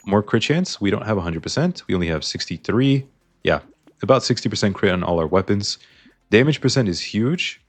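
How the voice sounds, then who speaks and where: A man's voice: fairly low pitch, animated, fairly quick. A man casually commenting on a video game as he plays, explaining his choices, his voice a little strained. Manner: chatty, explains choices while playing. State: slightly strained voice. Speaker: gaming YouTuber.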